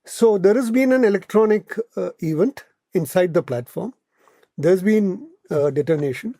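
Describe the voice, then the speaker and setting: Male analyst, Indian accent, warm dark timbre, close dry sound, measured explanatory delivery with repeated words. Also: sits high, lively intonation, no echo. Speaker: podcast guest, policy analyst. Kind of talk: explanatory podcast discussion.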